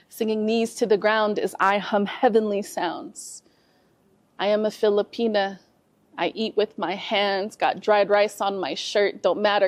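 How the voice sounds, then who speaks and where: A woman from San Jose, a guest poet, chatting softly in an interview and reciting a rhythmic spoken-word poem. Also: medium pitch, no room sound. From San Jose, California. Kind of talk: casual interview and poetry recital. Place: community space in an office building.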